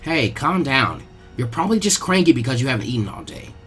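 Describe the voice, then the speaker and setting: A man's voice: medium pitch, sing-song, a little fast, a bit bright, soft background music, pitched below the performer's own voice. A man voicing a friendly, concerned teenage boy ninja in a fan-fiction reading. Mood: soothing.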